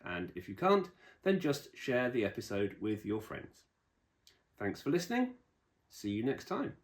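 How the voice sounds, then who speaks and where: A man's voice: mid-range voice, very animated, a little slow. A man hosting a podcast, interviewing a guest and addressing listeners. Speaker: podcast host.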